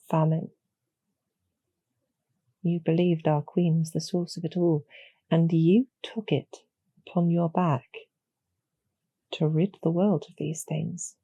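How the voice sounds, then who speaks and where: A woman voicing a calm, knowing succubus demoness in an intimate audio roleplay, speaking in a measured, softly persuasive way with a low, breathy, gravelly voice. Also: no echo, full character voice. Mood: grave, earnest. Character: calm, knowing demoness succubus archer, former hero, loyal to her queen.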